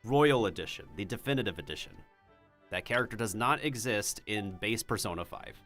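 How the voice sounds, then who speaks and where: A man's voice: fairly low pitch, lively intonation, a little fast, fairly bright tone, faint music behind. A man streaming a game, chatting and rambling with giggles, whose first language is Mandarin Chinese. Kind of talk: casual game-stream chat.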